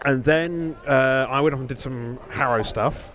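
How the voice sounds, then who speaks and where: A man's voice: mid-range voice, unhurried, fairly bright tone. A man hosting a podcast in casual, reminiscing chat, rambling and often correcting himself as he goes. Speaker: podcast host.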